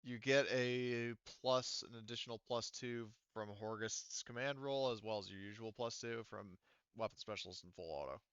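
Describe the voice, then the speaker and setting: A man's voice: mid-range voice, normal speed, fairly bright tone, smooth, no echo, highs cut off. A man acting as game master of a tabletop roleplaying game, thinking aloud hesitantly as he works through the rules.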